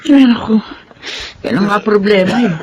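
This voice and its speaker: A woman's voice: medium pitch, normal speed, a little mellow, muffled recording, slight background noise, close to an everyday voice. A woman playing a worried, fussing mother of a poor family in a Tagalog radio drama, fretful and exclamatory.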